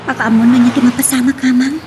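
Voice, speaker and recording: A woman's voice: high voice, fairly even intonation, fast talker, a little mellow, lightly acted. A woman playing a troubled, earnest daughter pleading with her father in an Ilocano audio drama, with an Ilocano accent. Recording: clear background hiss and noise.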